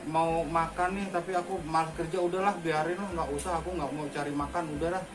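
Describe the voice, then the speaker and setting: A man's voice: a little high, steady tone, a little fast, music in the background, close to an everyday voice. A man voicing a lazy, bossy cartoon finger that complains about being hungry, in a whiny way. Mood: lazy whining.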